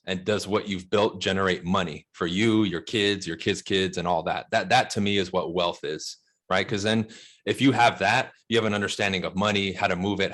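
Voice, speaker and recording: A man's voice: low voice, a bit bright. A man in his mid-thirties with an American accent, talking casually and emphatically as an interview guest, with slangy check-ins. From Los Angeles, United States. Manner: slangy, emphatic, often checks with "right?" and "bro". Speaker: interview guest, real estate broker.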